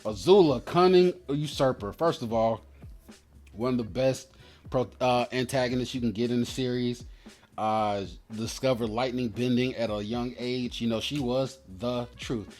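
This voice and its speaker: A man's voice: medium pitch, normal speed, a little mellow, faint music behind. A man hosting a card pack opening, rambling casually in a slangy, profane, opinionated way as he tells stories. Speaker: host opening trading card packs. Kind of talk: casual rambling commentary and storytelling.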